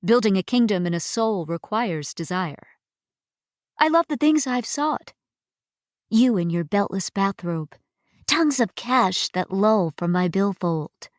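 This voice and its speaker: A woman giving an expressive poetry reading, deliberate and pausing between phrases. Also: mid-range voice, no room sound, clean studio sound. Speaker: reader of poetry. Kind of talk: dramatic poetry recitation.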